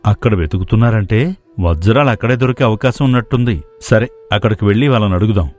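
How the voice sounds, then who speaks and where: A man's voice: lowish voice, fast talker, darkish tone, natural voice. A man playing a lazy, scheming young villager, a casual and mocking sidekick in a moral story. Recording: music playing behind the voice.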